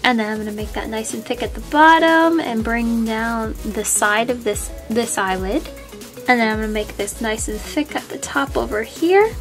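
A woman hosting a step-by-step drawing tutorial, friendly and easygoing, a little sniffly from a cold. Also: on the high side. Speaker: art tutorial host.

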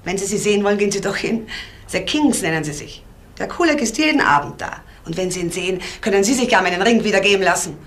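A woman's voice: medium pitch, animated, fast, close to an everyday voice. A woman playing a brusque, defiant call girl in a crime radio play, speaking curtly. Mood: bitter, curt. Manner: curt, blunt, defiant.

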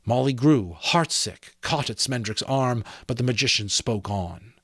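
A man in his thirties reads a fantasy novel aloud as an expressive, dramatic audiobook narrator, close to a desk microphone in a small room. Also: medium pitch, unhurried, no echo. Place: small home room with sloped ceiling, indoors.

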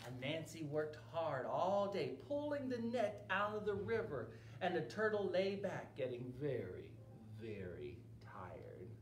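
A man in his forties reads a children's story at an unhurried pace, with hugely animated pitch. The recording is roomy, with steady background noise. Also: recorded from a distance. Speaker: library storyteller. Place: indoor library children's room. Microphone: camera a few meters away.

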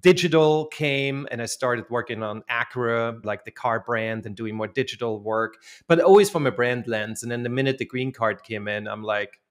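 Austrian-accented man, middle-aged, lively and laughing, casual podcast guest, studio mic on a video call. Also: medium pitch, normal speed, hardly any pauses. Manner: lively, laughs easily, animated hand gestures. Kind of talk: casual interview conversation. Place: home office with framed posters. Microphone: studio mic on boom, video call.

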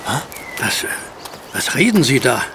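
An actor playing a brusque, skeptical and bossy police superintendent with a gravelly voice in a German audio drama. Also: male voice, medium pitch, big pitch swings, a little slow, background noise. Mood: startled. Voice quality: gravelly, creaky. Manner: brusque, barking orders.

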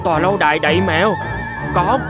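A man's voice: high voice, fast talker, over loud music, lightly acted. A man voicing a naive, good-natured young peasant in a cartoon fairy tale, eager and wide-eyed. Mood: amazed.

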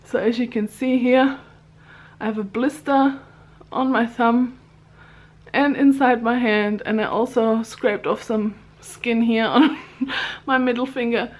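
A woman's voice: on the high side, fairly even intonation, slow pace. Chatty woman vlogging to a handheld camera. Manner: chatty, upbeat, laughs between words. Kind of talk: casual vlog chat. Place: small home craft room, indoors. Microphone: handheld camera at arm's length.

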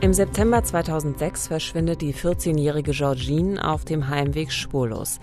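Very low-voiced woman narrating true crime. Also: moderate pace. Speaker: podcast host. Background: background music.

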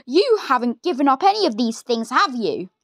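A woman's voice: high voice, big pitch swings, moderate pace, dry recording, clean studio sound, exaggerated voice. A woman voicing a tiny, nasty, bossy old grandmother who snaps and scolds in a read-aloud story. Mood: scolding. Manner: snapping, scolding.

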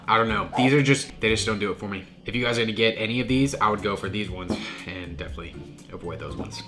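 A man's voice: lowish voice, fast talker. Man in his thirties, congested and nasal, casual food-vlog chatter at the kitchen table over music. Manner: chatty, animated, with frequent restarts. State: nasal from a cold. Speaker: food vlogger. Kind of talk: casual snack review to camera. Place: home kitchen, indoors.

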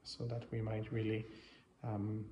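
French canadian priest guy, native french speaker, pretty flat delivery, halting homily, lots of reverb. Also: lowish voice. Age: 30s to 40s. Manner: halting, reflective, with many pauses. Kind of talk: informal homily. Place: small chapel, indoors. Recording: echoey, reverberant chapel sound.

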